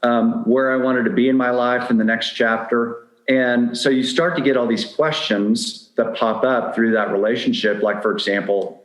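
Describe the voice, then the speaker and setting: A man's voice: mid-range voice, steady tone, normal speed, hardly any pauses, echoey room, poor audio. A middle-aged man speaking candidly and anecdotally as a guest in a conversational interview. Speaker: podcast guest giving dating advice.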